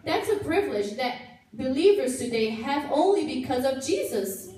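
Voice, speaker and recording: A woman's voice: high voice, expressive, moderate pace, a little mellow. A woman voicing a cheerful, helpful girl puppet in a church puppet show, explaining things patiently, recorded from far off in a very echoey hall. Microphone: far camera.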